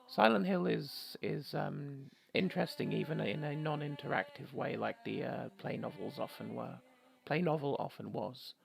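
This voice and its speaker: A man's voice: on the high side, vocal fry. A man doing a casual let's play, reading game story text aloud and commenting with wry, rambling asides.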